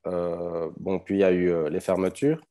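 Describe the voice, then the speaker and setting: Guest coach, Belgian accent, deep and warm, easygoing with lots of pauses. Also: male voice. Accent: Belgian French. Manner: relaxed, hesitant, many fillers and restarts. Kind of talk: casual podcast conversation.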